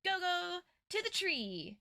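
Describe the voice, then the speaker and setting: A woman's voice: very high-pitched, big pitch swings, takes it slowly, bright, forceful tone, no room sound, studio quality. A woman co-hosting a recap show, giving casual commentary in an earnest, explanatory way. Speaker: co-host of a story recap show.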